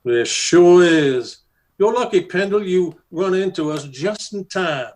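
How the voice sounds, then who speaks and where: A man's voice: high-pitched, lively intonation, a little mellow. An American man playing a menacing, mocking cowboy bully in an old-time radio Western. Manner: mocking, slow-drawling taunts. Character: menacing cowboy bully, sneering ex-Confederate guerrilla.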